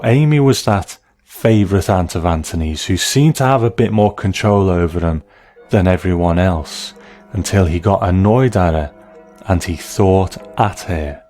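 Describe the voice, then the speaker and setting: A man giving measured, analytical commentary as the host of a review of classic television. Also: low-pitched, darkish tone.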